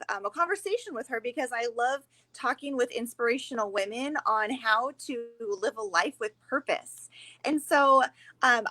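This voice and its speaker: A woman's voice: on the high side, pushed and bright, rough recording. A woman hosting a casual chat from a hotel room, upbeat and enthusiastic. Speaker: life coach and host. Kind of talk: casual conversation.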